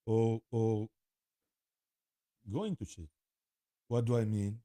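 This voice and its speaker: A man with an Israeli accent talking informally to camera, halting often as he pauses and repeats himself. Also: fairly low pitch, warm tone, no room sound. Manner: halting, with frequent pauses and repetitions. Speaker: spiritual vlogger. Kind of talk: rambling informal talk to camera.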